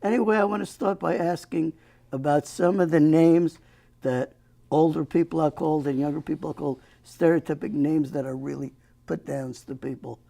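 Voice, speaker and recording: A man's voice: on the high side, fairly even intonation, normal speed, vocal fry, dark tone. An older man hosting a local TV talk show, folksy and prone to joking asides. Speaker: host.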